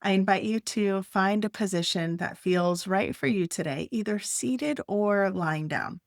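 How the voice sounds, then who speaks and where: A woman leading a guided meditation, speaking gently in short, unhurried phrases with long pauses. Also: mid-range voice, no echo, studio quality.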